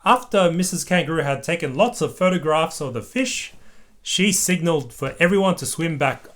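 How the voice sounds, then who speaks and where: Parent reading a kids picture book out loud, medium pitched voice, asking little questions along the way. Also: expressive. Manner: pauses to prompt a child with simple questions. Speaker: parent reading aloud to a child. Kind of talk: read-aloud children's picture book with chatty questions.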